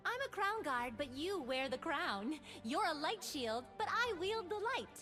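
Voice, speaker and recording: An actress playing an optimistic, playfully sarcastic young mage of light in a video game. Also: female voice, sits high, big pitch swings, measured pace, faint music behind, full character voice. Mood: cheeky, teasing. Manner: bright-eyed earnest, playfully sarcastic. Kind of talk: video game character voice lines.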